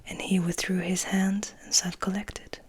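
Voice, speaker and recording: A woman reading a story aloud in a gentle, hushed, breathy ASMR whisper. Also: medium pitch, little pitch movement, unhurried.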